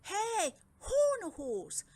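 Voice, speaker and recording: Storybook young dragon twin, performed by a woman: high voice, boisterous, gleeful little schemer. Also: wildly swooping pitch, extremely slow, mellow, heavily acted. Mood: eager. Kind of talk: children's storybook character voice.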